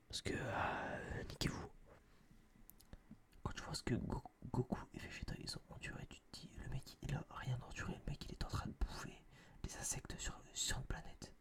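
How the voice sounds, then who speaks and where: A young man whispering a casual, opinionated ASMR review in a breathy voice, rambling and slangy, close to a binaural microphone. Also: medium pitch. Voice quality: breathy, mostly unvoiced whisper. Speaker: host of an ASMR film review video.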